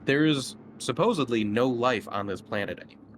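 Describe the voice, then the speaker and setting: A man narrating a tabletop horror game as game master in a halting, conversational way. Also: medium pitch, lively intonation, slight background noise.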